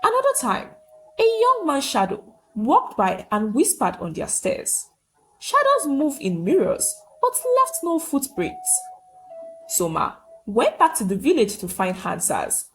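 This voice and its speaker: A woman's voice: high voice, huge pitch swings, unhurried, fairly bright tone, soft background music, low-quality recording. A woman storyteller narrating a scripted folktale.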